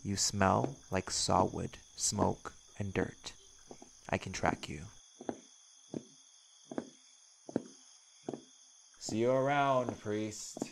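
A man's voice: fairly low pitch, takes it slowly, gravelly, a little hiss or hum, full character voice. A man voicing a brash, menacing werewolf in an audio roleplay, speaking in a blunt, curt, sardonic way. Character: brash, menacing male werewolf hunting his quarry. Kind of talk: scripted audio roleplay acting.

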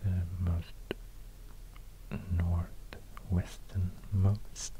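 A man with a Swedish accent, a native Swedish speaker, speaking soft ASMR narration in a halting, musing, breathy near-whisper. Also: low voice, level tone. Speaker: ASMR video creator. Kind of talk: soft-spoken ASMR narration while drawing. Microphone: close binaural microphone. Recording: audible noise, soft clicks.

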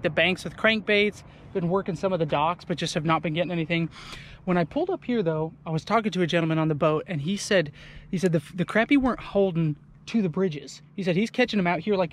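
A young man, chatting casually to his viewers from a small boat on a lake, getting excited when fish bite. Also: high voice, a little hiss or hum. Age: young adult. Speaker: fishing vlogger. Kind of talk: casual outdoor vlog commentary. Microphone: action camera on the boat.

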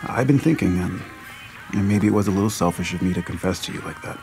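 An actor playing an earnest, wry, heartbroken restaurant cook, hesitant and understated, in naturalistic audio drama. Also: male voice, sits low, fast, over music. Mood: awkward, wry.

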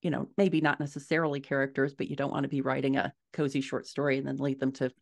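Woman, middle-aged, measured delivery, explanatory, conversational podcast guest, home video call. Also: very deep voice, level tone, creaky, darkish tone. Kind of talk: conversational interview answers, explaining. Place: small furnished room at home, indoors.

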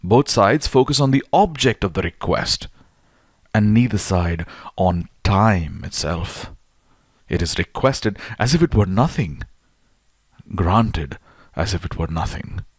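A man with a low-pitched voice reads a philosophical essay as an audiobook narrator. His phrasing is deliberate and measured, leaning firmly on key words. The recording is dry and close, with no room echo. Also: animated, husky. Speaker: audiobook reader.

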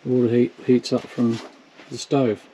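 A man's voice: mid-range voice, level tone, normal speed, dark tone. A man on a walking tour, casually pointing things out in brief remarks. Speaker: companion on the tour. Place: walking outdoors and through open bush shacks. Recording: noisy on-the-move camera audio.

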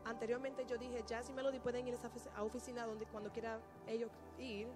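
A woman's voice: fairly high pitch, flat delivery, rapid-fire, music in the background. A woman interpreting a sermon earnestly into a handheld microphone on a church stage.

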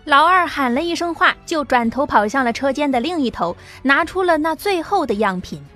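A woman narrates a science fiction audiobook in a steady, dramatic read. Her voice sits fairly high. Soft music plays quietly behind her. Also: moderate pace. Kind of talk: scripted narration.

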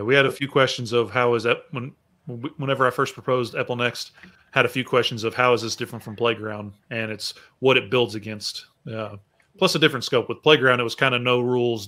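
A man co-presenting over a video call, explaining technical points in a measured way and adding follow-up remarks. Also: medium pitch, bright, forceful tone.

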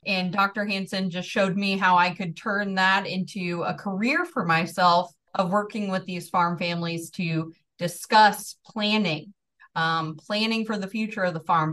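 A woman in her thirties with a general American accent, telling her background in a relaxed, earnest way as an interview guest, with frequent ums. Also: mid-range voice, recorded from a distance. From Northeast Georgia, USA. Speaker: farm transition specialist guest. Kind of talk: conversational interview storytelling.

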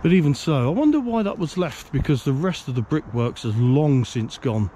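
A man's voice: fairly high pitch, animated, normal speed, mellow, a little hiss or hum. A man giving a casual exploring commentary, musing and putting questions to himself as he goes. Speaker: presenter of a canal exploring series. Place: outdoors by a canal bridge and road.

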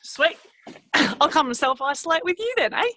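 A woman's voice: sits high, expressive, normal speed, pushed and bright. A woman playing a brash Aussie bunker prepper. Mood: chummy. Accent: Australian. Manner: chummy, rambling, calls everyone mate. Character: brash Australian woman prepper, cheery and clueless. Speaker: guest. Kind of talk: improvised comedy character. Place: outdoors.

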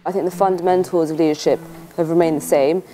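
A young woman in her twenties answers questions outdoors on a campus. Her voice is low and mellow, and she speaks hesitantly, with many fillers and restarts. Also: steady tone, fast, faint music behind, low-quality recording. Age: 20s. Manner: hesitant, full of fillers like you know. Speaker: student and cadet leader. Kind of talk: interview answer.

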